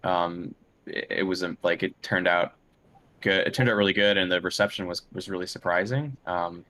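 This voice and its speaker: A man with a very low-pitched voice talks casually as a guest in an interview. He is hesitant and feels his way through each thought as he speaks. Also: steady tone, moderate pace, sharp tone, a little hiss or hum. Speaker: interview guest, film and game composer.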